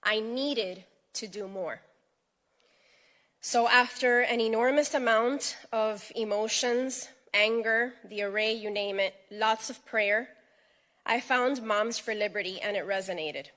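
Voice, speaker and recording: A middle-aged woman delivers a prepared speech with earnest, personal emphasis. Her voice sits a little high, and she leans hard on key words. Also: slow pace, a bit bright. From United States (Miami). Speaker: speaker at a press event.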